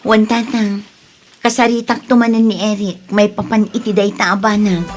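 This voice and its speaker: A woman's voice: medium pitch, flat delivery, moderate pace, over music, natural voice. A woman playing a worried, scolding but practical wife in an Ilocano radio drama, with an exasperated, admonishing manner. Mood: concerned.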